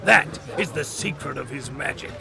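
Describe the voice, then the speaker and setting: A man playing a boastful, lecherous fat rogue with a gravelly voice and bawdy, mocking bluster in a fantasy audio drama. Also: fairly high pitch, lively intonation, normal speed, music in the background. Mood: scornful mocking.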